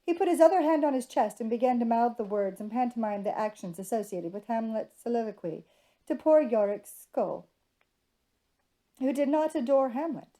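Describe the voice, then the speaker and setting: Woman narrating a novel, very soft dark tone. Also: fairly high pitch, lively intonation, normal speed, poor audio. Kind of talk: scripted storybook narration.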